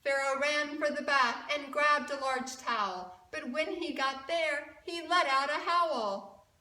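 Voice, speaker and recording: A woman storyteller reading a picture book aloud to children, expressive and deliberate, often putting questions to her listeners. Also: high voice. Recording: roomy echo, distant mic.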